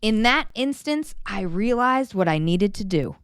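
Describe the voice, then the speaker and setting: Female storybook reader, smooth and clean close sound, expressive delivery with stretched, emphasized words. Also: medium pitch, no echo. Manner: animated, dramatic storytelling with drawn-out emphasis. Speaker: children's story reader and host. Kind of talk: expressive children's storybook read-aloud.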